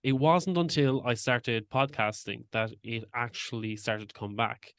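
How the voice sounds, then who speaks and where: A man's voice: medium pitch, steady tone, measured pace, fairly bright tone, no echo, clean studio sound. A man hosting a podcast, speaking openly and personally as he shares his own experiences at length. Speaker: podcast host. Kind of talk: casual interview talk.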